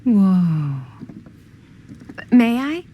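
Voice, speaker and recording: A woman's voice: mid-range voice, sing-song, very slow, warm tone, a little hiss or hum. An actress playing a wary, polite young woman customer, casual and hesitant, in a naturalistic video game scene. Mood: hesitant, haggling.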